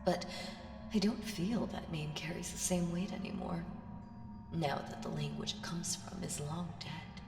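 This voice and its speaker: Woman acting as a primordial evil female spirit, low slow voice, teasing half-whispers, ASMR roleplay, cavernous reverb. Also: vocal fry. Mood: amused, smug. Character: ancient primordial evil spirit, female, seductive, condescending, amused. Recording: echoey reverberant voice over music. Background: music.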